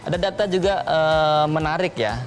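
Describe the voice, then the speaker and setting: A young man on a television talk panel. His voice sits a touch high, and he lays out his argument point by point at an even, measured pace. Faint music plays softly under the studio sound. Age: young adult. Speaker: talk show guest.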